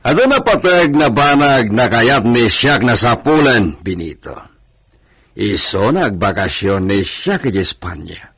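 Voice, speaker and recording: An actor playing a haughty, suspicious hacienda master in radio drama style, theatrical and drawn-out, dropping in Spanish phrases. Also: male voice, mid-range voice, animated, a bit bright, husky, heavily acted. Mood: measured, imperious.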